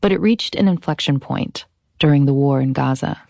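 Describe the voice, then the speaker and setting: Low-voiced woman narrating a documentary. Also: creaky, darkish tone, no room sound. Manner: measured, deliberate, slows on key phrases. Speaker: podcast host and narrator.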